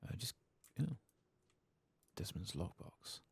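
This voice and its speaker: A man giving soft-spoken ASMR commentary on a video game, in a breathy voice that often drops to a whisper. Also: slow, slight background noise.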